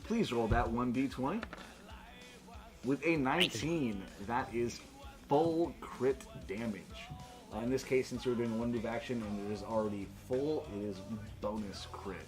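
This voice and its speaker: A man works as game master in a tabletop role-playing session, calling rolls and narrating the action. His voice has an ordinary middle pitch, and music plays clearly behind him. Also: measured pace.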